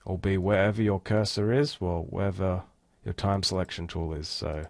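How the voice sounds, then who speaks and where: A man giving a casual, step-by-step software walkthrough as an instructor. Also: sits low, fairly even intonation, normal speed, no echo, studio quality. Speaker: tutorial instructor. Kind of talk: screen-recorded software tutorial, casual walkthrough.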